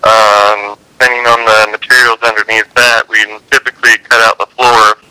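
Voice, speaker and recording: A man's voice: on the low side, flat delivery, normal speed, clean tone, dry recording. A man on a telephone line handling a customer service call in a matter-of-fact, firm way. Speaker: cleanup company phone representative. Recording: dry, sharp telephone line.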